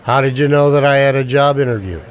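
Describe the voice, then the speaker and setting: A man's voice: medium pitch, quick pace, close to an everyday voice. An actor playing a wry, wary ex-soldier down on his luck, with dry, sardonic banter in a radio play. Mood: wary, teasing. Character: wry, wary unemployed ex-Ranger, expectant father. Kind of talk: radio play dialogue in homage to old radio dramas.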